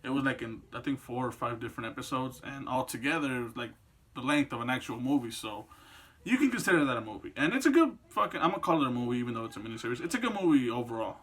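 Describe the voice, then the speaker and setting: A man's voice: mid-range voice, lively intonation, quick pace, a bit bright, husky. A man in his twenties giving casual, rambling, opinionated commentary on games and films, swearing freely as he goes. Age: 20s. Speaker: video creator and host.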